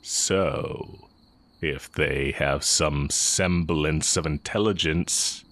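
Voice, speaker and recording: A man playing a sly, heretical magic teacher with secret longing, speaking in a measured, teasing way in a romantic audio roleplay. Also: extremely low pitch, a bit bright, exaggerated voice. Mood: sly, confident. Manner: measured, deliberate, teasing delivery with drawn-out pauses.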